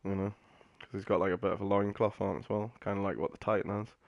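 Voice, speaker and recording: A man's voice: lowish voice, flat delivery, fast, dry recording, muffled recording. A man giving casual live commentary as a game streamer, rambling in an offhand way. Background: game cutscene audio.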